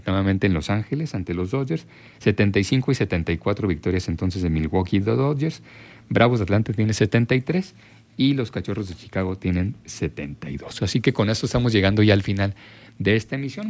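The deep-voiced Mexican man on a weekend radio sports show, reading out scores and standings with halting pauses to find the word. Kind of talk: sports news recap and commentary.

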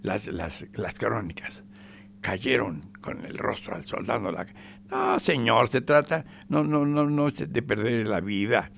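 A man's voice: mid-range voice, wildly swooping pitch, measured pace, vocal fry, fairly bright tone, slight background noise. A man with a Mexican accent giving sarcastic radio commentary, emphatic and repetitive, sometimes dropping to a whisper.

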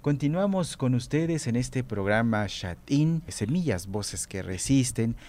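A man's voice: mid-range voice, lively intonation, a little slow, a little mellow. A man hosting a community radio interview, greeting listeners and asking his guest questions. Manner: greets listeners formally, affirms with short murmurs. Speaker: radio host.

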